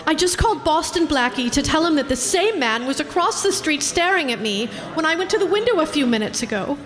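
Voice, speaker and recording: A woman's voice: high-pitched, animated, a little fast, a bit bright, long reverb tail. A woman playing an anxious, snappish stalking victim in an old-time radio melodrama. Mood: distressed.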